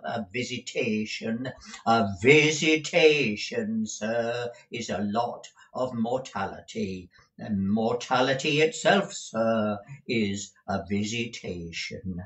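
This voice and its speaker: A man's voice: mid-range voice, far from the mic, natural voice. A man reading aloud and voicing a coarse, greedy middle-aged schoolmaster who drawls folksy, sanctimonious talk.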